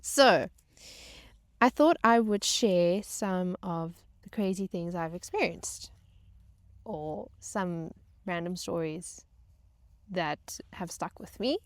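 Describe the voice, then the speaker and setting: A woman vlogger telling personal stories casually, in a halting, chatty way with wry exaggeration. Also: fairly low pitch, no echo. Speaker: vlog host telling stories.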